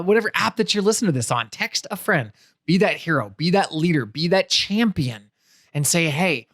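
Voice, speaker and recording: A man's voice: a little high, fairly bright tone, no echo, clean studio sound. A man hosting a podcast, wrapping up an interview and giving an enthusiastic, motivational outro that repeats phrases for emphasis. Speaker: podcast host.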